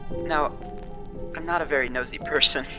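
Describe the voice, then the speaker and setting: Over a clear musical score, a male actor plays a wary, shaken man with a fairly high voice. He gives his account like testimony in an audio drama, pausing for dramatic weight. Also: steady tone, over music, close to an everyday voice. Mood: uneasy, haunted. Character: shaken, wary man recounting a frightening experience. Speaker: interviewee.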